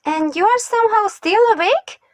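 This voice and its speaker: A woman voicing an excitable, caring young boy, an eager and bubbly little brother in an amateur comic dub. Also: extremely high pitch, moderate pace, no echo, studio quality. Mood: concerned.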